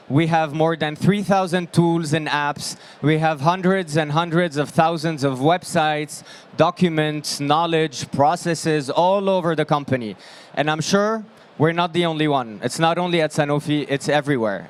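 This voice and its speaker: A man in his thirties presenting on an expo hall stage with a handheld mic. His voice is strained and fading from shouting, and his manner is upbeat and joking. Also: a little high, clear, resonant tone. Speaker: product presenter. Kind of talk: conference stage talk. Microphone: handheld microphone.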